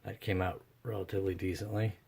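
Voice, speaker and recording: Deep, gravelly-voiced man thinking aloud. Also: flat delivery, fast talker, a little mellow. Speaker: host of a radio repair vlog. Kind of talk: casual unscripted workbench narration.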